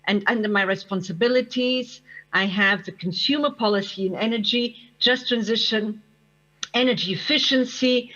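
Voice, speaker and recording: Woman, remote panelist, hesitant, fillers, bright voice, lo-fi remote audio. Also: medium pitch. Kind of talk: spoken self-introduction. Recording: dull remote audio link.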